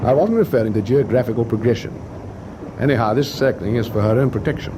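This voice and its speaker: An actor playing a composed, businesslike warship captain in a radio war drama, speaking in a measured, formal way. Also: male voice, mid-range voice, background noise, close to an everyday voice. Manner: measured, dictating signals formally. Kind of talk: full-cast radio war drama acting. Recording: clear outdoor sea ambience behind.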